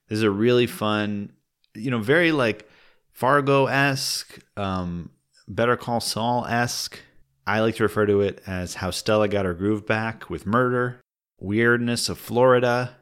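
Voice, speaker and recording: A man's voice: on the low side, a bit bright, smooth. A man hosting a podcast, introducing a show in a casual, informal and enthusiastic way.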